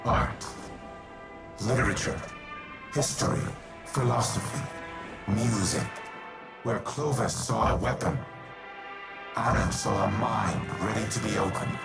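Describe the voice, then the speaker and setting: An actor playing an ancient, grave and remorseful artificial war mind in a video game, speaking in solemn, measured, formal monologue. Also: male voice, on the low side, gravelly. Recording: loud music under the voice.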